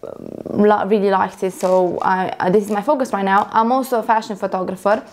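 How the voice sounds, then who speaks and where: A young woman from Romania talks casually to the camera about her work as a photographer. She rambles, restarts her sentences and fills pauses with ums, in a voice of ordinary pitch. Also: fairly even intonation. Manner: rambling, self-correcting, many fillers. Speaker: photographer vlogger.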